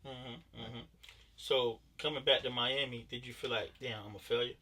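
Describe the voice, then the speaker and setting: A man's voice: medium pitch, fairly even intonation, normal speed, sharp tone, far from the mic, a little hiss or hum. A man hosting a podcast, asking questions and affirming his guest in casual, encouraging talk. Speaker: podcast host.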